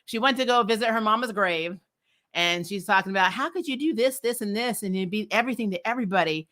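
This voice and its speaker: A woman's voice: medium pitch, fast, fairly bright tone. A woman giving a casual, opinionated recap to livestream viewers, speaking bluntly with swearing and often laughing as she talks. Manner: laughs often while talking. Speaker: host of a comics TV recap show.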